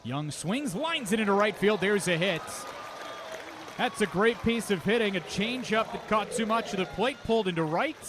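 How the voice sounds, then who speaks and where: A man's voice: high-pitched, lively intonation, normal speed, crowd noise. A man calling a baseball game live on radio as the play-by-play announcer, describing the action in detail. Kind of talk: live radio sports commentary. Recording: clear outdoor ambient noise behind. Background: outdoor ballpark ambience.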